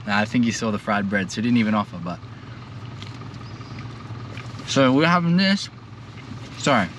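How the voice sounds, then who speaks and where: A man's voice: fairly low pitch, fairly bright tone. Laid-back Australian guy vlogging roadside. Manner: chatty, laid-back, lots of "like" and "um" fillers. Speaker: travel vlogger. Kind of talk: casual travel vlog chat. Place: outdoors by a road while driving a tuk-tuk. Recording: outdoor recording with clear traffic noise. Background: traffic and vehicle noise.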